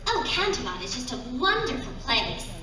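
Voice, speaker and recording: A woman answering fan questions at a convention panel, hoarse from losing her voice, bubbly and apologetic, now and then breaking into song. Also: sits high, sing-song, normal speed. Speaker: voice actress guest on a convention panel. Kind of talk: convention Q&A, doing character voices. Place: large convention hall. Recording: echoey hall recorded from far off, crowd noise.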